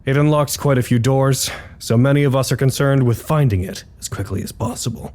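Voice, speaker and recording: An actor playing a male research site doctor who gives formal, measured official reports in an audio drama. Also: mid-range voice, darkish tone, close to an everyday voice. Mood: formal, matter-of-fact. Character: weary male doctor, foundation communications head, dutiful and halting.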